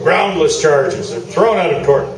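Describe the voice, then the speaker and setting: A man's voice: fairly high pitch, a little slow, a crowd in the background, lightly acted. An actor playing a pompous, self-satisfied male sports columnist who pontificates grandly in a live comic radio play. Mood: smugly defensive. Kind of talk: staged talk-show debate, comic radio play. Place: live theatre, mic at a distance. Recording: roomy distant stage recording, music behind.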